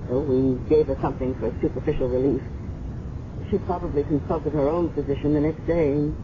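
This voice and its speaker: An actor playing a measured, musing hospital doctor in a 1970s radio drama. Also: male voice, mid-range voice, natural voice. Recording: muffled, noisy 1970s radio recording.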